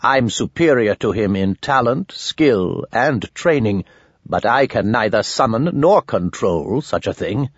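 A man's voice: mid-range voice, animated, a little slow, breathy edge, dry recording, highs cut off. A man voicing a wary, self-important old wizard with formal, pedantic speech in an audiobook. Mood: grave, boastful.

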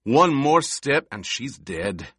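A man's voice: medium pitch, very animated, slow, bright, forceful tone, slightly rough, dry recording, clean studio sound, voice pushed up, exaggerated voice. A man reading an audiobook, voicing a furious, loyal warrior who snarls threats while holding a hostage. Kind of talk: audiobook character voice.

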